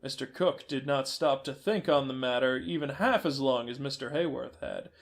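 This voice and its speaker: A man narrating a fairy tale in a gentle, measured storytelling manner. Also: medium pitch, vocal fry.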